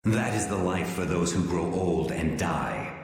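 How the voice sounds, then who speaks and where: An actor playing a proud, wrathful male fire spirit in a fantasy game, speaking gravely and imperiously in formal, archaic phrasing. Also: low-pitched, unhurried. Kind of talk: scripted fantasy game acting. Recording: echoey cavernous hall reverb.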